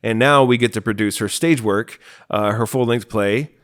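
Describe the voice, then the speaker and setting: A man's voice: fairly low pitch, moderate pace, fairly bright tone. An American man chatting casually as he hosts and introduces a podcast. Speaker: podcast host and producer.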